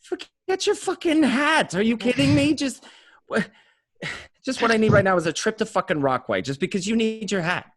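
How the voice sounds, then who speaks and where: A man's voice: high voice, animated, moderate pace, a bit bright, no echo, clean studio sound. An actor playing a hot-headed, anxious gangster in a radio play, telling his story in profane, stammering bursts. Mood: exasperated. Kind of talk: first-person crime narration and dialogue, radio play.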